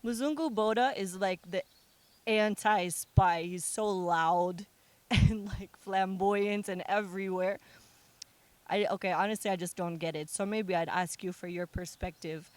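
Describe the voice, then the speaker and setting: A woman's voice: mid-range voice, fairly even intonation, a little slow, no echo. A young American woman, a musician, chatting casually in an interview, joking and laughing often. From United States. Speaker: musician guest.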